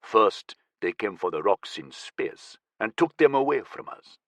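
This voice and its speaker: A man voicing a cold, menacing militant leader who speaks slowly in a low, dangerous tone. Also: big pitch swings, no echo.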